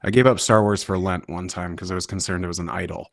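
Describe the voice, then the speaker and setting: A man's voice: low-pitched, fairly even intonation, fast talker, creaky, no echo, studio quality. A man speaking casually on a panel, informal and rambling as he thinks aloud. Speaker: panelist, independent filmmaker and producer.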